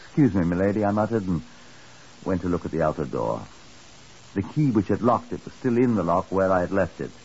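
An actor playing a dry, methodical British chief inspector in a 1950s radio drama, measured, deliberate and faintly sardonic. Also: male voice, sits low, a little mellow. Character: dry, methodical police chief inspector narrating his case. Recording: muffled, hissy 1950s radio recording.

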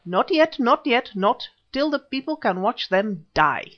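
A reader voicing a malevolent old male witch doctor in a high, shrill voice, on a dull lo-fi recording. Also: sing-song, unhurried. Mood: gloating. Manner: high and shrill, rising to a scream. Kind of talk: audiobook reading.

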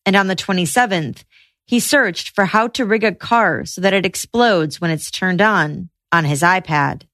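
A woman podcast host narrating trial news in a measured, reportorial way. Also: on the low side, a bit bright, no room sound, studio quality.

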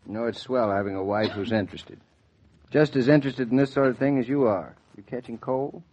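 A man's voice: medium pitch, a little fast, a little mellow, a little hiss or hum, close to an everyday voice. An actor playing a casual, teasing husband in his thirties, boyishly keen, in a 1940s American radio drama. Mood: boyishly eager. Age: 30s. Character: easygoing, teasing young husband, a keen college football fan. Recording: muffled 1940s radio recording.

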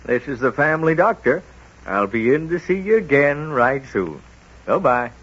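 A man playing a wise, kindly middle-aged small-town doctor in an old-time American radio drama, talking in a folksy, halting, gently firm way. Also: medium pitch, very animated, dull audio, a little hiss or hum. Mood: gruff, kindly. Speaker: lead actor in a radio serial.

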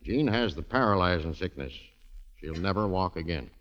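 A grave, guarded middle-aged man in a vintage radio drama. His voice is on the low side, stern and protective. The old recording is dull, with faint music behind. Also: animated, moderate pace. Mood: grave, firm. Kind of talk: 1940s radio acting.

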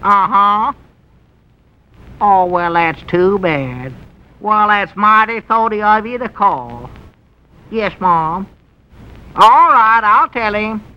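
A man playing a simple-minded rural old shopkeeper in 1940s radio comedy acting, with a slow folksy drawl and a bumbling manner. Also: high-pitched, animated, smooth. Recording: dull old radio broadcast.

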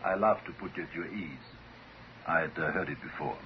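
An actor playing a cool, detached psychiatrist, measured and deadpan, in a 1950s radio drama. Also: male voice, low voice, close to an everyday voice. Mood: guarded, deadpan. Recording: muffled, hissy 1950s radio recording.

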